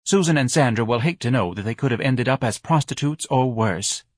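A man's voice: mid-range voice, lively intonation, brisk, breathy edge, no room sound, studio quality. A man voicing a melodramatic, self-righteous middle-aged wife in an audiobook, grandly reproachful and scornful. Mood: martyred, reproachful. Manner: overwrought, martyred, grandly reproachful.

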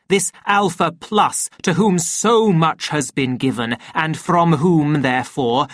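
Woman as pompous male director, audiobook character, stern, oratorical, slow delivery, emphatic, dry close sound. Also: low voice, no room sound, pitched above the performer's own voice, full character voice.

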